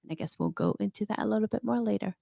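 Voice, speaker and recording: A woman's voice: medium pitch, racing, gravelly, mellow, dry recording, studio quality. A middle-aged woman from Hong Kong, a fintech consultant, speaking as an interview guest in a confident, candid, storytelling way. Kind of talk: interview conversation.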